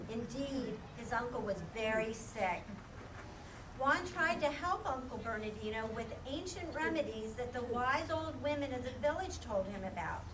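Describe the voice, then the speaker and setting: Female storyteller for a kids' puppet show: high voice, scripted narration, noisy distant recording. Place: live performance in a room, far mic. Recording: distant, echoey room with clear noise.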